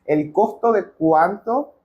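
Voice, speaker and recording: A man's voice: high voice, slow, muffled recording. A man hosting a casual interview, speaking informally and dropping in English business terms. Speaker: podcast host.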